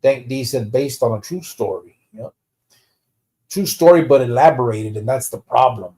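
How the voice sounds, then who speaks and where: A man's voice: mid-range voice, moderate pace, dark tone. A man from the United States hosting a live stream in casual chat, blunt and profane, dropping slang into his English and reading out comments. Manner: slips Spanish slang into English. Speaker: podcast host.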